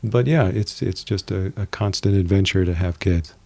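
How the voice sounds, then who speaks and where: A man in his forties, a guest on a podcast, talking casually in a measured way with many fillers and restarts. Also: low-pitched, creaky, mellow. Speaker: podcast guest, VP of operations and compliance. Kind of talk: casual interview talk.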